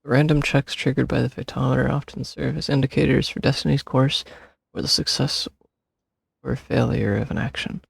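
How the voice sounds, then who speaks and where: A gameplay streamer with a gravelly, husky voice, commenting casually and offhandedly into a close mic. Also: medium pitch, fairly even intonation, moderate pace, no echo. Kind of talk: casual gameplay commentary, reading choices aloud. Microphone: close microphone over game audio.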